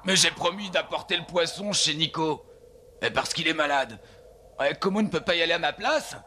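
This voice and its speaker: Un comédien qui joue un chien-loup héroïque de dessin animé, loyal, au parler simple et sincère. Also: male voice, on the high side, normal speed, sharp tone, a little hiss or hum. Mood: reluctant. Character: earnest, loyal male wolf-dog hero. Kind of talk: animated film dub.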